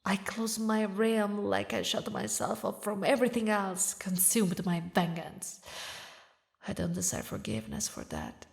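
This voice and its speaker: Woman voicing a slow-spoken, emotional demon queen. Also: mid-range voice, lively intonation. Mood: soft, regretful. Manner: dramatic, halting, breathy whispers, emotional. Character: grieving vengeful demon queen, tender toward lost female lover. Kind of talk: scripted romantic audio roleplay.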